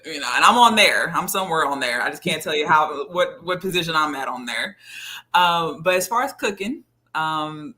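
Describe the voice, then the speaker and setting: Bright-voiced woman, easygoing. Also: lowish voice. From Louisiana. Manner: easygoing, quick to laugh. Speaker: guest. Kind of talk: casual panel chat.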